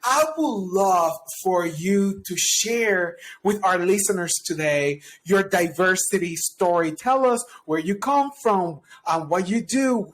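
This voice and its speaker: High-pitched guy hosting a podcast, excited, gushy. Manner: upbeat, effusive. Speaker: podcast host. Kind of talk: podcast interview.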